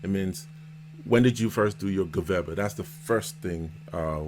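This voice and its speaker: A man hosting a casual vlog interview as a seller and mentor, explaining things in a halting, repetitive way. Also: low voice, a little mellow. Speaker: host, Amazon seller and mentor. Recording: noticeable background noise.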